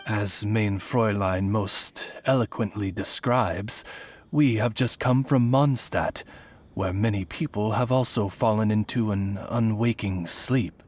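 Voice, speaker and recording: Loyal raven familiar, lowish voice, dignified, formal butler manner, game dialogue. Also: male voice, a little mellow. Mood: courteous, dignified. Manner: formal, eloquent, measured. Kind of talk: game character acting.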